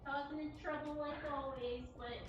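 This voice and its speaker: A woman's voice: sits high, steady tone, unhurried. A woman co-hosting a casual livestream, relaying viewers' comments in an informal chat. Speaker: cat cafe livestream co-host. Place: indoors in a cat cafe. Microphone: away from the microphone. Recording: distant, echoey, dull livestream audio.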